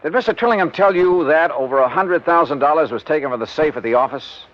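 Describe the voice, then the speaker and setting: An actor playing a skeptical, hard-boiled insurance investigator in 1950s radio drama, clipped, probing and sardonic. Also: male voice, fairly high pitch, lively intonation, fast talker, lightly acted. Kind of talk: 1950s radio detective acting and narration. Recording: dull, noisy old radio recording.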